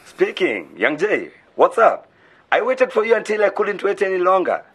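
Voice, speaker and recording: A man's voice: high-pitched, very animated, normal speed, a bit bright, husky, exaggerated voice. A man acting a confident, businesslike student journalist giving advice in a radio drama. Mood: upbeat, hurried. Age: young adult.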